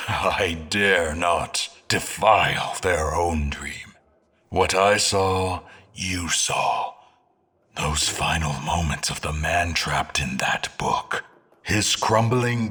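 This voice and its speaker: Man as deep, husky, brooding fantasy schemer. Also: sharp tone, heavily acted. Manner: solemn, ornate, deliberate delivery. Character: brooding, grandiose male schemer of a fantasy world. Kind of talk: theatrical fantasy audio drama monologue.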